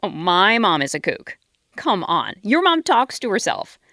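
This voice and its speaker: A woman's voice: mid-range voice, big pitch swings, unhurried, sharp tone, no echo, clean studio sound, exaggerated voice. A woman playing an exasperated, sarcastic and blunt girlfriend in a comedy radio sketch.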